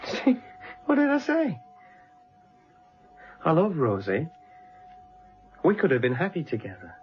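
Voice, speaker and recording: An unhinged young British policeman in a radio thriller, menacing and self-justifying. His higher-set voice lurches wildly up and down, on a dull, lo-fi recording with faint music. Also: wildly swooping pitch, a little slow. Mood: bitter, manic. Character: young policeman turned unhinged, woman-hating killer. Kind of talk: British radio drama acting.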